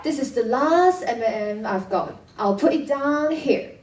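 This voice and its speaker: A woman's voice: sits high, expressive, a little slow, darkish tone. A teenage girl acting a curious, matter-of-fact schoolgirl explorer in a radio drama. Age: teens. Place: stage of a studio theatre. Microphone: far camera. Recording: echoey theatre, distant camera, faint noise.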